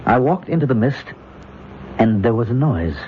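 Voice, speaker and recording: Halting, bewildered scout, acted by a man, muffled radio. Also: medium pitch, big pitch swings, darkish tone, close to an everyday voice. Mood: solemn, halting. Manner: halting, solemn, searching for words. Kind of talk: old-time radio drama acting. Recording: muffled, hissy old radio recording.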